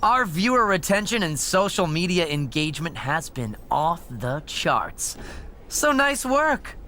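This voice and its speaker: A man's voice: a little high, big pitch swings, unhurried, sharp tone, a little hiss or hum, full character voice. A man playing a smug, mocking corporate schemer in a wrestling video game cutscene. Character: smug, scheming male wrestling manager's henchman.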